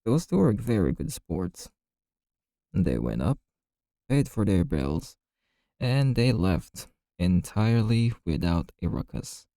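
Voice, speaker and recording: A man playing a chatty, easygoing bartender in an ASMR roleplay, telling gossipy stories in a casual, friendly, unhurried way. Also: fairly low pitch, very animated, vocal fry, mellow, dry recording, studio quality, exaggerated voice. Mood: relaxed, friendly.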